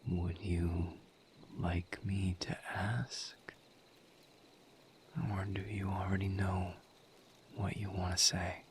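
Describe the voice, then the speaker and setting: A man voicing a gentle, patient misery demon in a breathy whisper, as an empathetic confidant in an intimate audio roleplay. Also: sits low, flat delivery, a little hiss or hum. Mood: gentle, consoling. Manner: slow, halting, soothing, with long pauses.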